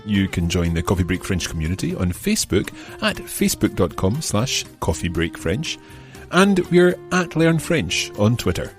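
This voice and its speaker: Low-pitched, animated man teaching a language. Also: a little slow, soft background music. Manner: clear step-by-step explaining, repeating phrases. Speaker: language lesson host. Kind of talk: scripted explanatory teaching narration.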